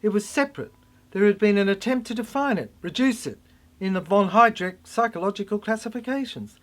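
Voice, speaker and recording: A narrator performing a theatrical spoken-word monologue in an emphatic way, with halting repeats. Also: medium pitch, a bit bright. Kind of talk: dramatic spoken-word narration of a cryptic science-fiction monologue.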